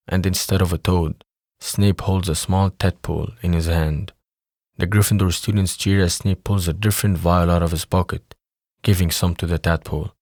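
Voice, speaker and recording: A man's voice: low voice, a little mellow, dry recording, clean studio sound. A man reading scripted third-person narration for an audio drama.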